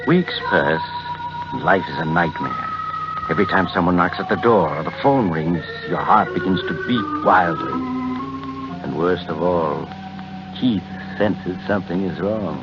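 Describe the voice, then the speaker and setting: Mysterious taunting narrator, very low voice, vintage radio thriller style, dull recording under prominent music. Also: male voice, measured pace. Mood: ominous, taunting. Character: ominous mysterious narrator taunting the characters in second person. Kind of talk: 1940s radio acting, suspense narration. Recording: muffled 1940s radio recording. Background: loud music.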